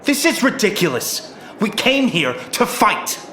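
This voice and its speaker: An earnest young team captain from a cartoon. He is bossy and impatient, with a high, very animated voice over clear noise. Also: unhurried, heavily acted. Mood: indignant. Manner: commanding, impatient.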